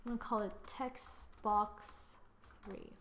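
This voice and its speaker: A young woman hosting a screen-recorded software tutorial, chatting through each step as she works at her computer. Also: mid-range voice, fairly even intonation, slow pace, slight background noise. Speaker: tutorial host.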